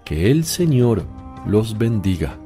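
An actor playing a grave, solemn male teacher who preaches deliberately in a radio drama. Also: fairly low pitch, sing-song, mellow, faint music behind. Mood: solemn, reproving.

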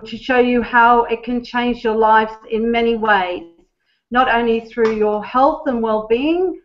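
Woman host reading an intro off a script, slightly higher voice, muffled call audio. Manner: reads from a script with some fillers. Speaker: webinar host introducing the speaker. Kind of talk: read-out introduction. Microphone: conference call line.

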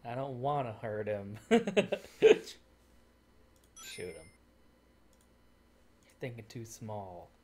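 Slow-paced man joking over a game. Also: fairly low pitch, expressive, darkish tone, a little hiss or hum. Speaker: let's play host. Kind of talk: casual joking game commentary, reading on-screen text aloud.

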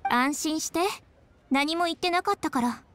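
A woman voicing a confident, practical young noblewoman in a video game, with a brisk, matter-of-fact and feminine way of talking. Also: high-pitched, a bit bright, slight background noise. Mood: composed, puzzled. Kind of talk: Japanese video game voice acting.

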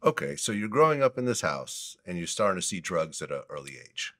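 A man's voice: sits low, big pitch swings, a little fast, pushed and bright. A man hosting an interview, asking direct, leading questions. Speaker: interview host.

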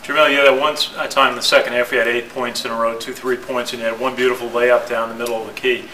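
A man fires off quick questions in a bright, pushing voice at a press conference. He sits a little away from the mic. Faint noise lingers in the room. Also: mid-range voice, little pitch movement. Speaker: reporter. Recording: slightly distant, faintly noisy room audio.

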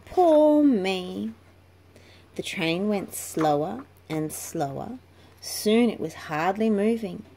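A woman's voice: lowish voice, slow pace, a little mellow. A woman reading a children's picture book aloud in a storytelling style, in a noisy home recording. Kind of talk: slow read-aloud storytelling.

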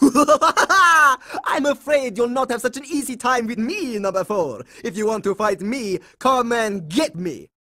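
A man's voice: very high-pitched, animated, measured pace, hardly any pauses, sharp tone, no room sound, studio quality, heavily acted. A man voicing a smug boy vampire leader in a cartoon video game, with formal, taunting, theatrical delivery.

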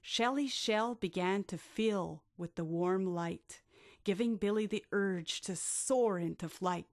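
A woman narrating a rhyming bedtime story for children with expressive, drawn-out delivery. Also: mid-range voice, dry recording, studio quality.

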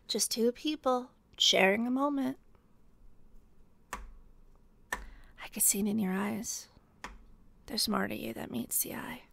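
A woman playing a teasing, obsessed female detective in a whispery, deliberate ASMR roleplay. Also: on the high side, a bit bright, slight background noise, heavily acted. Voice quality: breathy, whispery. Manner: slow, deliberate, teasing, pausing. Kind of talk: ASMR roleplay, scripted intimate monologue.

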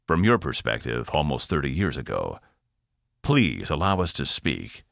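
Solemn alien guy in a metal suit, slow formal talk, low gravelly voice, narrator doing him. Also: sing-song, a bit bright, no echo, clean studio sound, full character voice.

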